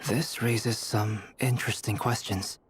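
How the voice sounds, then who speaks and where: An actor playing a calm, scholarly researcher in a fantasy game, speaking in a measured, formal manner. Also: male voice, medium pitch, faint music behind, natural voice. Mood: calm, analytical.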